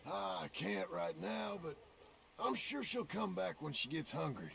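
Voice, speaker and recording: An offhand townsman of the Wild West in a video game. His folksy voice is gravelly and a little high, rising and falling in a lively way. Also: measured pace, exaggerated voice.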